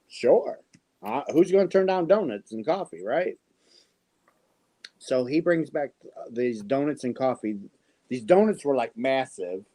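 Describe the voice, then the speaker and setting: A man's voice: medium pitch, lively intonation, a little slow, pauses often, mellow, dry recording. A man in his fifties with an American accent, hosting a casual live panel chat and telling easygoing stories with many fillers. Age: 50s. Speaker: host.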